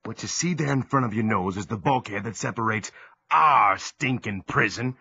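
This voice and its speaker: An actor playing a scheming, folksy sailor prisoner who leads a rebellion in an audio drama. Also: male voice, fairly low pitch, animated, moderate pace, gravelly, sharp tone, husky, heavily acted. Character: fiery, scheming American sailor prisoner, rough rebel ringleader. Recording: muffled recording.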